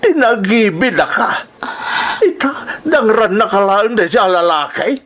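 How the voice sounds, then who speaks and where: A man's voice: high-pitched, animated, measured pace, fairly bright tone, full character voice. Guy doing grumbly emotional old ilocano dad, protective, audio drama acting. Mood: outraged, pained. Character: aggrieved, protective elderly father of married daughter.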